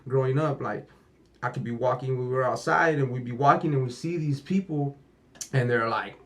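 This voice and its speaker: A man's voice: mid-range voice, moderate pace, fairly bright tone. A man whose first language is Spanish, talking loosely as a podcast guest, rambling with lots of fillers and casual swearing. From United States.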